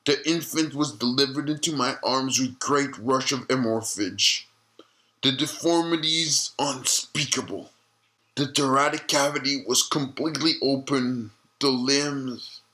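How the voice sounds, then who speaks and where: An actor playing an elderly doctor recalling a grim memory in slow, deliberate testimony for an audio-log drama. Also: male voice, mid-range voice, bright, forceful tone, poor audio. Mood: haunted, grave. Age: older. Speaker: retired obstetrician being interviewed.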